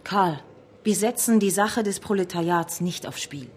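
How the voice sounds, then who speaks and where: An actress playing a stern, principled revolutionary leader in a German radio drama, firm and reproachful. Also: female voice, fairly low pitch, normal speed, darkish tone, close to an everyday voice. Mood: firm, lecturing.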